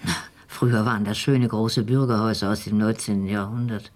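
A man's voice: fairly low pitch, steady tone, brisk, darkish tone, close to an everyday voice. An actor playing a dry, disapproving, cultured man in a naturalistic radio drama, with a sardonic manner.

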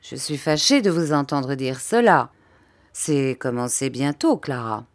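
A woman voicing a controlling husband in an audiobook, measured and courteous with a cold menace. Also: a bass voice, expressive. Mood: cool reproach.

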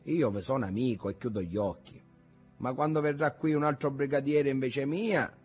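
A man voicing a smooth, insinuating police sergeant in an audiobook, speaking softly. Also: medium pitch, natural voice. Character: smooth-talking middle-aged police sergeant, flirtatious.

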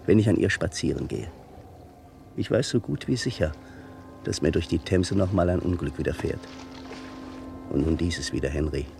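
An actor playing a brooding, superstitious gentleman who speaks formally and ominously in a 1960s German radio crime comedy. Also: deep voice, gravelly. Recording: noisy 1960s radio play recording.

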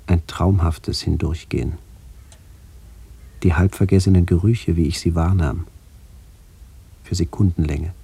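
An actor playing a brooding, melancholy middle-aged man who narrates a literary radio play in a measured, introspective way. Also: low voice, gravelly, warm tone, husky, exaggerated voice. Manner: measured, introspective, poetic delivery.

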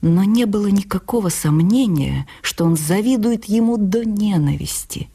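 An actress playing an earnest, wounded woman writer, narrating her memoir for radio in an expressive, confiding, deliberate manner. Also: mid-range voice, husky. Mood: reflective. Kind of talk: dramatized first-person literary narration for radio.

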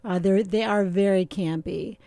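A middle-aged woman with a mellow, warm voice chats as a guest on a radio show. She is relaxed and talkative, pausing often on fillers and laughing easily. Her voice sits close to the studio mic and sounds dry. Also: mid-range voice, no room sound. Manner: relaxed and chatty, with many filler pauses and easy laughter. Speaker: winemaker guest. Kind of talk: casual radio interview.

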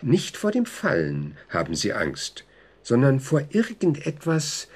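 An actor in a German radio drama playing a composed, methodical psychotherapist who is reassuring, probing and clinical. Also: male voice, medium pitch, huge pitch swings, slightly rough, heavily acted. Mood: explaining. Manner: measured, clinical, deliberate.

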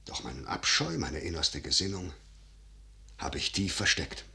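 An actor playing a grave, scheming middle-aged general and father, declaiming solemnly and with measured delivery in a 1950s German radio verse drama. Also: a bass voice, sing-song, gravelly, sharp tone, full character voice. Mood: solemn, earnest.

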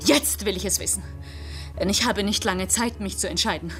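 An actress playing a young, defiant, uncompromising heroine in a 1960s radio drama, speaking urgently and insistently. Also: female voice, mid-range voice, moderate pace, a bit bright, faint music behind, lightly acted.

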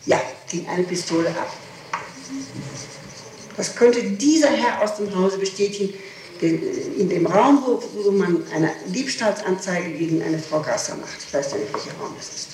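A middle-aged woman answering questions in an interrogation, halting, repeating words and breaking off her sentences. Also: medium pitch, expressive, darkish tone. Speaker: person questioned in a murder investigation. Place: echoey room, away from the microphone. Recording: echoey, noisy, distant 1960s tape recording.

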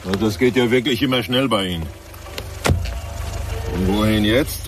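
An actor playing a plain-spoken, inquisitive taxi driver in a German radio drama. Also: male voice, on the low side, normal speed, natural voice. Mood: matter-of-fact, curious. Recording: distant, music and vehicle sound.

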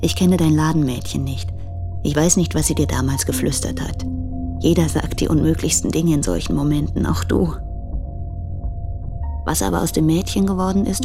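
An actress playing a frank, reproachful woman challenging her hesitant lover in a literary radio drama, intense and unsparing. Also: deep voice.

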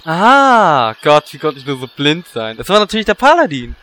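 A man's voice: on the high side, very animated, moderate pace, sharp tone. A man voicing a scheming, sleazy male adventurer who talks slyly and gleefully. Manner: sly, gleeful.

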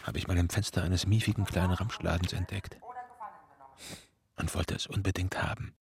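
Bitter tired dissident in a radio drama, crackly low voice, kinda sardonic, mumbling to himself. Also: male voice, fairly even intonation, normal speed, creaky, a crowd in the background. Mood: secretive. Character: weary, bitter male party member confiding forbidden thoughts. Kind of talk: intimate German radio drama monologue.

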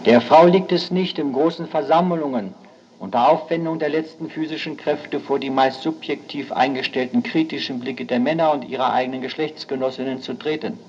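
A man's voice: mid-range voice, a little fast, a little mellow. Man speaking on an old dull archive recording. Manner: formal, declamatory. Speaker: politician giving a speech. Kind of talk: formal speech from an archive recording.

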